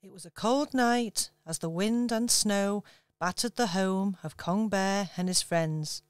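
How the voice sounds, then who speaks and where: A woman giving measured storybook narration for a radio pantomime. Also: mid-range voice.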